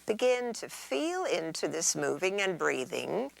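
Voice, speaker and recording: Woman yoga teacher, soothing n slow, creaky voice, pitch swoops around a lot, leads gentle stretches. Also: very animated, background noise. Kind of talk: guided relaxation and gentle stretching instruction.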